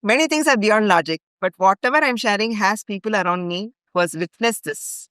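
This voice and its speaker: Woman, Indian English accent, middle-aged, earnest storyteller, strings events together with fillers, mid pitch. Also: brisk, a bit bright, no echo. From India (grew up in Bhopal). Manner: earnest, recounts events in long chains with fillers. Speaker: guest, longtime ashram volunteer. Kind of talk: podcast storytelling.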